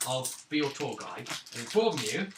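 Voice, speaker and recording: A man running a tabletop role-playing game as game master, describing scenes in a relaxed way. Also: medium pitch, normal speed, noisy background. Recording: roomy table recording from a distance.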